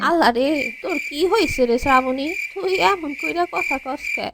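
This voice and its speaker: A woman's voice: high voice, animated, moderate pace, very noisy. A woman playing a worried, nagging middle-aged village mother in a cartoon comedy, lamenting in a Bangladeshi accent. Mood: fretful, worried. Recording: music bed behind voice.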